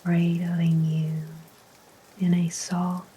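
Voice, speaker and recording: A woman guiding a sleep hypnosis relaxation in a soft, whispery, gentle and soothing voice, with long unhurried pauses. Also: lowish voice, slightly rough, rough recording. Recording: steady rain sounds behind voice. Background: rain ambience.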